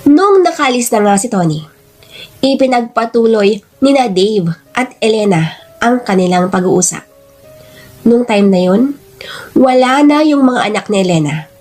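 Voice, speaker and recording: A woman with a high voice tells a story as scripted narration. Her delivery is dramatic, in the style of radio drama, with music playing underneath. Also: expressive, measured pace, pauses often, darkish tone. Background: background music.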